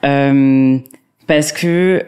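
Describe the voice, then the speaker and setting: A woman's voice: very deep voice, drawn-out words, mellow, smooth. A young woman in casual conversation, talking with many fillers and restarts. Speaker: co-host. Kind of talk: casual chat.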